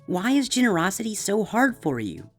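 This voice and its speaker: A man's voice: high voice, huge pitch swings, moderate pace, fairly bright tone, soft background music, pitched above the performer's own voice, exaggerated voice. A man voicing eager, insistent young female cartoon creatures in a fan fiction story, with an emphatic way of explaining. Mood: exasperated. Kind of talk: character voices in a fan fiction reading.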